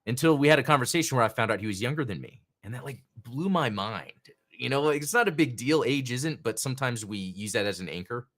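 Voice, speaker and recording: A man hosts a relaxed live stream and talks in loose, rambling sentences. He drifts from one thought to the next, then stops to read out names from the chat. His voice sits at an ordinary middle pitch. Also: a little fast. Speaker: podcast host.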